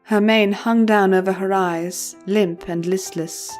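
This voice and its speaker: Woman narrator, slightly low-pitched. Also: steady tone, unhurried, darkish tone, faint music behind. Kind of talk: dramatic scripted story narration.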